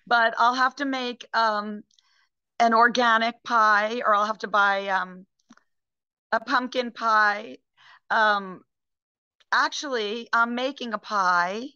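Bright-voiced woman on a video call. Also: fairly high pitch, takes it slowly, pauses often, clean tone, no room sound. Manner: chatty, rambling, frequent ums and restarts. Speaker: actress guest. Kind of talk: casual interview over video call. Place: home room, indoors. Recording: dull lo-fi video call audio.

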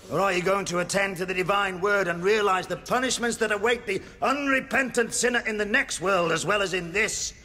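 An actor playing a zealous, pompous prison chaplain in a radio drama, with a declamatory way of preaching. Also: male voice, high voice, bright, forceful tone. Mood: stern preaching. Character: fire-and-brimstone prison chaplain, zealous, pompous, troubled by doubt.

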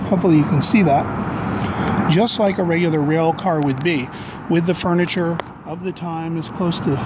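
A middle-aged man with a fairly high voice narrates a casual walking tour. He rambles along in a jokey, offhand way as a vlog host. He is outdoors on a handheld camera, with clear street and traffic noise around him. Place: outdoors on city back streets. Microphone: handheld camera at arm's length.

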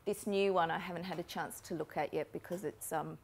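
Lower-voiced woman giving a talk. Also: fairly even intonation, gravelly, slightly rough. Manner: measured, explanatory, with frequent ums. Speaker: keynote speaker on marketing. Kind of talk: conference presentation. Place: lecture room with a projector screen. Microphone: lapel mic.

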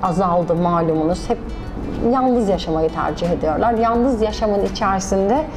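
A middle-aged woman talks in plain, unhurried sentences as the guest of an interview. She sits in a small indoor cafe, recorded close on a lapel mic. Clear music plays under her voice. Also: medium pitch. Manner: plain, unhurried, repeats short agreements. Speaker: business owner, interviewee. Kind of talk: casual interview answers.

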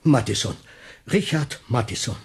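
An actor playing a pompous, timid master baker in a radio play, speaking rhetorically with a husky, creaky voice. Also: male voice, mid-range voice, animated, normal speed. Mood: startled, frightened. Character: brooding, grandiloquent master baker, timid and anxious. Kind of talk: 1980s German radio play acting.

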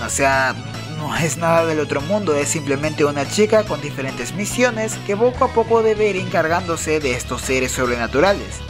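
Informal enthusiastic reviewer over music. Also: medium pitch. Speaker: host of a manga recommendation video.

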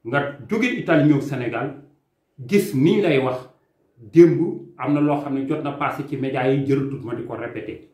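A young man with a Senegalese accent speaks earnestly and emphatically to a camera in a small room. His voice sits at an ordinary middle pitch. The room adds a noticeable echo. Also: normal speed, a little mellow. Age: young adult. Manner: impassioned, emphatic, gesturing with his hands. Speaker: immigration consultant. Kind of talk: earnest video statement, mixing French and Wolof. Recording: echoey room sound.

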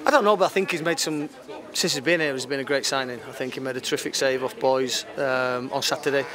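A man in his fifties giving an unscripted post-match football interview, matter-of-fact and halting, repeating phrases as he goes. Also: medium pitch, steady tone, crowd noise. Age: 50s. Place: outdoors at a football ground at night. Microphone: handheld interview microphone. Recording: clear outdoor background noise.

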